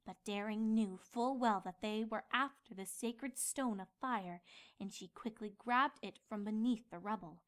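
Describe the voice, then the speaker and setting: A woman's voice: medium pitch, unhurried, lightly acted. A woman voicing an indignant, bookish young female pony who gets easily flustered in a comedic story reading. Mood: reading aloud. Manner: indignant, dramatic. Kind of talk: acted comedic fan fiction reading.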